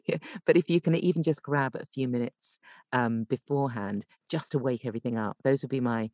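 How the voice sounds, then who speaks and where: A woman's voice: very deep voice, brisk, darkish tone, dry recording. Chatty woman, dry close sound. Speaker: podcast guest, voice actor and coach. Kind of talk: casual interview chat giving tips.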